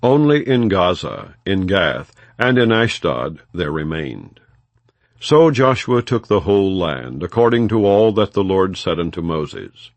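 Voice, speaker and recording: A man with a low voice narrates scripture as an audiobook. His phrasing is measured and solemn, yet his intonation moves widely within a plain, non-dramatized reading. The sound is dry and free of echo. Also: big pitch swings.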